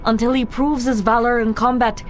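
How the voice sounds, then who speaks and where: A woman doing a solemn Valkyrie, formal, measured, fairly high pitch, faint game noise. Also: steady tone, close to an everyday voice. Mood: stern. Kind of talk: video game cutscene voice acting.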